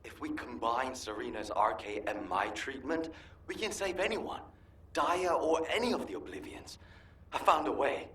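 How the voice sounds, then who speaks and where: A man's voice: mid-range voice, moderate pace, fairly bright tone, breathy edge. A man playing an earnest, hopeful scientist in a video game, explaining technical matters persuasively. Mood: urgent, hopeful. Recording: game audio with faint noise behind.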